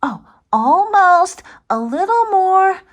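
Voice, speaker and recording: A woman's voice: extremely high pitch, sing-song, takes it slowly, lo-fi sound, voice pushed up, exaggerated voice. A woman voicing a cute, mischievous little monster in a picture book, dramatic, exclamatory and teasing. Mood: playful. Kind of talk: animated picture book read-aloud.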